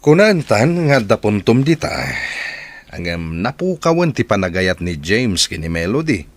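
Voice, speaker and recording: A man's deep voice with an Ilocano Filipino accent , acted for a radio-style drama. He plays a loyal friend giving earnest, serious advice. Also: big pitch swings, measured pace, no room sound. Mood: firm, insistent. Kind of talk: Ilocano audio drama acting.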